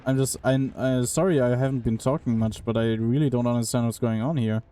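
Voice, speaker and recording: Young male game streamer, mid-pitched and mellow, chatty and casual. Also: steady tone, fairly quick. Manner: puzzled, chatty, talks to chat. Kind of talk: casual live-stream commentary while playing.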